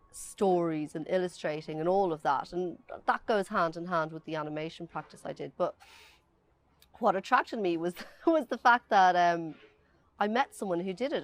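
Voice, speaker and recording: A woman's voice: lowish voice, no room sound. A woman who writes and illustrates children's books, talking chattily and correcting herself as she goes. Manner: chatty and self-correcting, with laughs. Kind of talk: casual interview talk.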